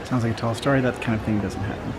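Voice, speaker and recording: A man's voice: mid-range voice, steady tone, rapid-fire, heavy background noise. A man giving casual, joking reaction commentary as a host, with dry wisecracks. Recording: loud game music behind. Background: video game audio and music.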